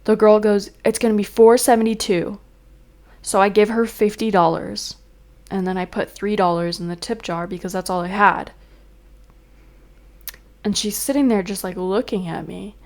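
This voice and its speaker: A woman's voice: mid-range voice, steady tone, normal speed, pauses often, darkish tone. A young woman vlogging in a chatty, rambling way, full of fillers, a little congested and sniffling. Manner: chatty, venting, exasperated. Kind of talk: casual chat.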